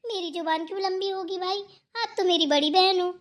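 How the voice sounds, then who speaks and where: A woman's voice: extremely high pitch, fairly quick. A woman voicing an outspoken, hardworking younger daughter-in-law in a Hindi family cartoon, who talks back, teases and moralizes. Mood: retorting. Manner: talking back, teasing, moralizing.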